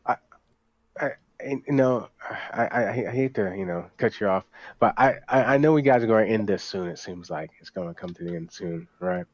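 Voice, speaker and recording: A man with a fairly low voice speaks as an eager podcast guest in casual chat. He starts and stops, repeating himself as he goes. The recording is dry and somewhat dull, with a lo-fi sound. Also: normal speed, no room sound.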